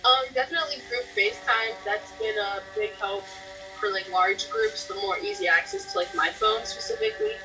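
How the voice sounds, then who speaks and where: A woman's voice: medium pitch, very animated, normal speed, distant mic, over music, poor audio. An eighteen-year-old woman studying journalism, chatty and giggly, with lots of filler words as she answers. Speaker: journalism student. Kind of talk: casual interview answers.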